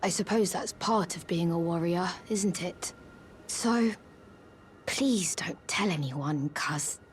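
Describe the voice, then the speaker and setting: A woman voicing a small, earnest young living jar in a video game, childlike and wistful, speaking softly and confidingly. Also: fairly low pitch, takes it slowly, slight background noise. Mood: hushed, hopeful. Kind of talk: video game character acting.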